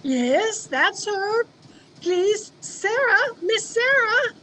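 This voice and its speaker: A woman's voice: very high voice, very animated, very slow, fairly bright tone, full character voice. A woman playing Minnie, a fond, plainspoken older female servant in an old-time radio drama. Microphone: video call. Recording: noisy home video-call recording.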